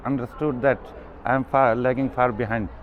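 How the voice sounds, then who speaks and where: A man with a Bangladeshi accent giving halting interview answers, searching for his words. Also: mid-range voice, steady tone. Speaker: internal medicine doctor. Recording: muffled sound with background noise.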